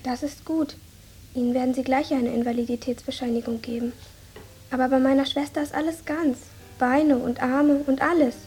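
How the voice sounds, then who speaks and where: An actress playing an earnest, protective older sister, a polite and frank village child in a radio drama. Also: female voice, high-pitched, fairly even intonation, moderate pace, darkish tone, lightly acted. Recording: noisy ambience behind dialogue.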